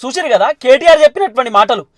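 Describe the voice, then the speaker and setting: Telangana guy doing political news commentary, really high voice, talks fast, explains stuff over and over. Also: animated, a bit bright, no room sound, clean studio sound. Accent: Telangana Telugu.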